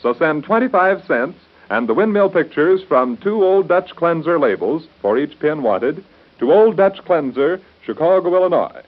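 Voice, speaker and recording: A man's voice: fairly high pitch, sing-song, normal speed. An American man announcing in 1930s radio style with a polished salesman's delivery, on a muffled old broadcast recording.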